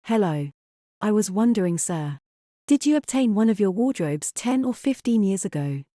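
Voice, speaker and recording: A woman's voice: mid-range voice, very animated, normal speed, mellow, dry recording, studio quality, lightly acted. A woman acting a polite, hesitant young mother in an audio drama, courteous and well-spoken. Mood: politely hesitant.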